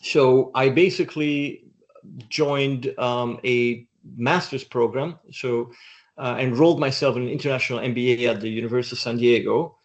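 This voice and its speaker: A man's voice: medium pitch, steady tone, normal speed, dull audio. A middle-aged man from Belgium, a guest in an interview, speaking in a reflective, thoughtful way. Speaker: interview guest, doctoral student. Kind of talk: casual interview chat.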